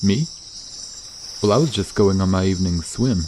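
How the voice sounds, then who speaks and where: Casting: male actor as shy, friendly mer-shark for ASMR roleplay, very deep, hesitant delivery, chirping insects behind. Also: normal speed, warm tone, a little hiss or hum, close to an everyday voice. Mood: shy, warm. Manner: hesitant, casual, trailing off. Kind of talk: ASMR roleplay, soft conversational acting to the listener. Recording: nature ambience with chirping insects. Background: crickets and outdoor night ambience.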